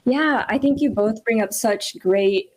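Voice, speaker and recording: A woman's voice: on the high side, measured pace, a little hiss or hum. A woman hosting a casual panel interview in an affirming, informal way with frequent filler phrases. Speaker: host, moderator.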